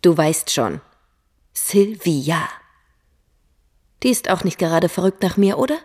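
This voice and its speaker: A woman's voice: lowish voice, expressive, measured pace, a little mellow, breathy edge. A woman playing a gossipy, self-satisfied young woman in a radio drama, talkative and sure of herself. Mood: gossipy, pointed.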